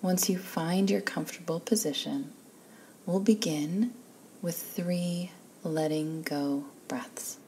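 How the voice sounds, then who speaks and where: Very slow, soft woman guiding relaxation. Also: on the low side, slight background noise. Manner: calm, soothing, unhurried with long pauses. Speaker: guided relaxation instructor. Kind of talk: slow guided meditation.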